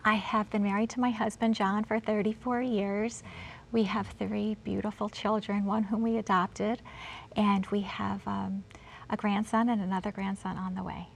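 A woman in her fifties introducing herself as an interview guest, with faint rumble behind her. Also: little pitch movement, moderate pace, gravelly, rough recording. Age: 50s. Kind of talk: personal introduction in conversation.

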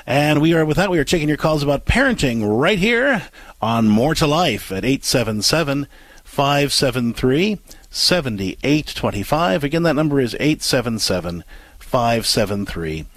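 Lively male radio talk host. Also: mid-range voice, moderate pace, no echo. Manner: lively, probing questions, frequent restarts.

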